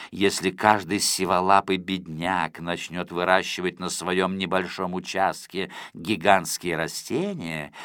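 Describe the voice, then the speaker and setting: An imperious, impatient tycoon acted out by a male narrator for a storybook recording. He speaks low and very slowly, dragging out his weighty words with menace. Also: creaky, fairly bright tone, dry recording, studio quality, heavily acted. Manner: slow, weighty, drawn-out delivery. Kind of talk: audiobook storybook character acting.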